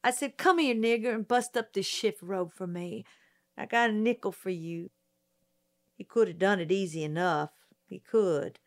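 A woman reading a storybook voices a frightened, defensive young woman witness of nineteen, with a high, strained, rustic way of speaking. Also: normal speed, close to an everyday voice. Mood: accusing.

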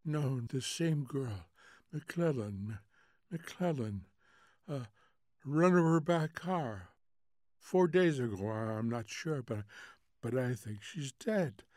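A drowsy, forgetful wife from a storybook, performed by a man reader. Her voice is soft and faint, drifting slowly through hesitant repetitions with a detached air. The recording is dry. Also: medium pitch, expressive, no room sound. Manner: hesitant, faltering, trailing repetitions.

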